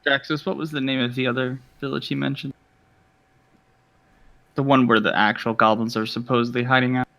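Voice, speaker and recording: A man playing a tabletop game, talking smoothly and flatteringly in character. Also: mid-range voice, fairly quick, pauses often, no room sound. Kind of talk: casual in-character play.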